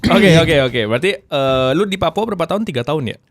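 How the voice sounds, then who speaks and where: A man's voice: a little high, animated, fast, no room sound, studio quality. A young man hosting a casual podcast, asking probing questions. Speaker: podcast host. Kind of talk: casual interview chat.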